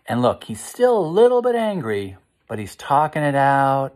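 A middle-aged American man reading aloud and gently guiding children, explaining things and putting questions to his listeners. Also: medium pitch, wildly swooping pitch, moderate pace. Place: small living room, indoors.

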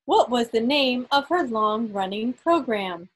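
A woman acting as quizmaster, reading quiz questions aloud to an online group in a deliberate way, pausing between phrases. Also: a little high, expressive. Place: indoors on a group video call. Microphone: video call microphone.

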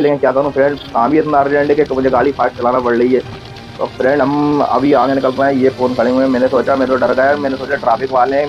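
A man's voice: mid-range voice, muffled recording, a little hiss or hum. A man with a central Indian accent giving casual, chatty running commentary as a motovlogger while riding, often repeating himself and addressing viewers as friends. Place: outdoors on a motorbike on a road.

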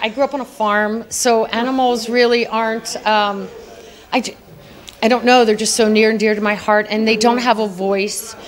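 A middle-aged woman, an award honoree, talking earnestly and chattily in a red carpet interview at an indoor gala, with frequent little laughs. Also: on the high side. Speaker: award honoree, beauty entrepreneur. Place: indoor gala press wall. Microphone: handheld mic held by interviewer.

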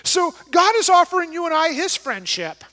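A man's voice: very high-pitched, big pitch swings, normal speed, pushed and bright. A middle-aged man preaching a sermon from a church stage, talking conversationally and asking his listeners questions. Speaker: pastor. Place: large church stage with an audience.

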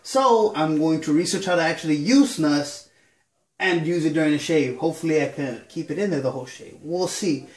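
A man with a congested, stuffy nose talks easygoingly to a camera, filling pauses with ums. His voice sits a bit high for a man. The small bathroom around him adds a noticeable echo. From Southern California. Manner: relaxed, rambling with many ums. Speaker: shaving vlogger. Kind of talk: casual chat to camera. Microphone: camera on a counter facing a mirror.

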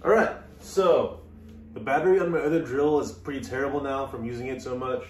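A man's voice: medium pitch, a little fast. Echoey, distant man vlogging casually. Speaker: video host. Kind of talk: casual vlog. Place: living room floor, indoors. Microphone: camera a few feet away. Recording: echoey, distant, noisy room recording.

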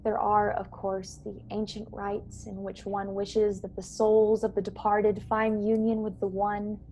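A woman acts as a young female officer aboard a starship in a science-fiction radio play. She is earnest and courteous, persuading gently in measured, polite phrases. Her mid-pitched voice comes over a video call with some background noise. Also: flat delivery, lightly acted, poor audio. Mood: polite, solemn. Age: young adult. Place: small bedroom, indoors. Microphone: earbuds on a video call.

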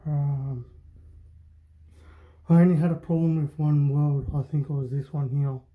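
A man, talking casually and haltingly about his workshop repair, with many pauses and ums, filmed close up on a handheld phone in an indoor workshop. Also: a little high, flat delivery, narrow, tinny audio. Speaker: hobby boat mechanic. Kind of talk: casual workshop show-and-tell. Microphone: handheld phone camera, close-up.

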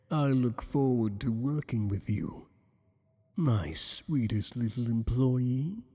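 A man's voice: mid-range voice, expressive, dark tone, slightly rough, long reverb tail, full character voice. A man voicing a teasing, possessive monster boss. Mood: flirtatious. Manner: teasing, flirtatious, slow and intimate. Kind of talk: ASMR roleplay.

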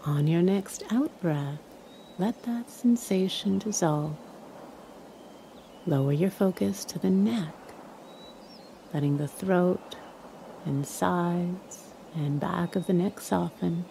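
Calm lady doing guided meditation, really slow, mellow gravelly voice, bit of noise behind. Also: fairly low pitch. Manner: calm, soothing, measured with long pauses.

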